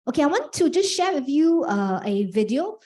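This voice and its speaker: A woman's voice: sits high, sing-song, moderate pace, warm tone. A woman presenting a programme briefing, explaining step by step with many "okay" fillers. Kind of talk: information briefing.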